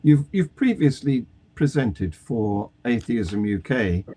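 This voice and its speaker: A man's voice: medium pitch, animated, takes it slowly, dark tone, slightly rough. A man hosting a live online talk show, wry and self-deprecating, chuckling as he talks. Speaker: host. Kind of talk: casual chat, hosting.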